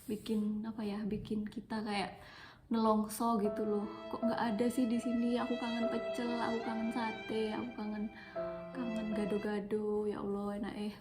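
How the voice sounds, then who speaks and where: A woman's voice: mid-range voice, completely flat delivery, moderate pace, over loud music. A young woman from Malang with an East Javanese accent, chatting casually into her phone for a personal vlog and repeating words for emphasis. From Malang, East Java, Indonesia. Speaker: vlogger.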